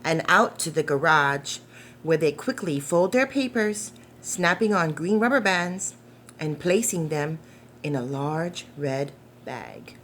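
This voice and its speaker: A woman reading a picture book aloud to young children in a gentle, deliberate, expressive way, with questions put to the listeners. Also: deep voice, a little hiss or hum. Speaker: storytime reader for preschoolers.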